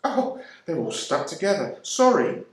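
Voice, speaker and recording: A man's voice: a little high, very animated, unhurried, pitched above the performer's own voice. A man voicing a cheerful, kindly English woman who offers sweets to a stranger, in a story reading. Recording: roomy echo.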